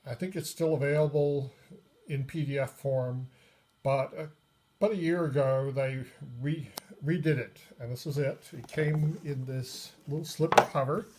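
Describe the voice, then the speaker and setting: A man giving a casual, unscripted review of a tabletop game book, pausing often mid-sentence. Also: mid-range voice, darkish tone. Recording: noisy recording with clicks.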